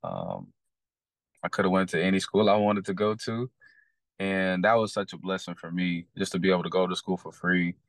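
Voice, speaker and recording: A man's voice: deep voice, expressive, moderate pace, gravelly, dry recording. A young man from Texas with a Texan accent, a podcast guest telling his story casually, with many fillers and restarts. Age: young adult.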